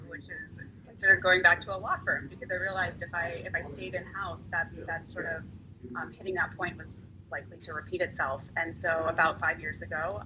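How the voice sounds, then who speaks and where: A woman with a somewhat low voice talks as a lawyer on a panel, chatty and loose, circling back and repeating words as she thinks. A constant hiss of noise sits behind her. Her delivery sounds unscripted and conversational. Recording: noisy, hissy recording.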